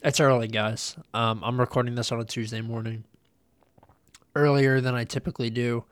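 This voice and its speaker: Young male host, nasal head cold, rambling, middle-pitched, dry close recording. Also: normal speed, clean tone, no echo. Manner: rambling, relaxed, with many ums and uhs. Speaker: podcast host. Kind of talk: casual solo podcast chat.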